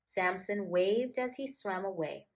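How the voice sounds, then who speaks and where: Woman teacher doing story time for little kids, takes her time, encouraging, simple wording, average pitch. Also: expressive, measured pace. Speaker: teacher reading to children. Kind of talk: storybook read-aloud and gentle address to children.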